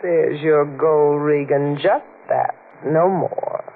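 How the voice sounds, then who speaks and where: An actress playing a mysterious, vengeful young femme fatale in a 1940s radio drama, deliberate and theatrical. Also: female voice, low voice. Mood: eerie, controlled. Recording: tinny, noisy 1940s radio recording.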